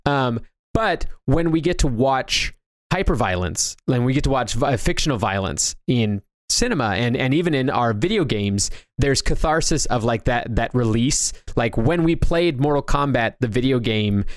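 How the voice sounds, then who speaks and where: A man's voice: medium pitch, lively intonation, moderate pace, no room sound. A man co-hosting a casual discussion, rambling and often restarting his thoughts as he goes. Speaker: podcast co-host. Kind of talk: casual discussion of movies and games.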